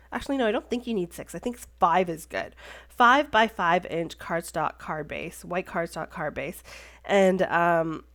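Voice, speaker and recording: A woman narrates a crafting tutorial, her voice stuffy and congested. She talks in a relaxed, chatty way, pausing on fillers and stopping to correct herself as she explains each step. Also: lowish voice. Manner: chatty, with frequent "um" and self-corrections. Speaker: card-making craft tutorial host. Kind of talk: casual voice-over tutorial narration.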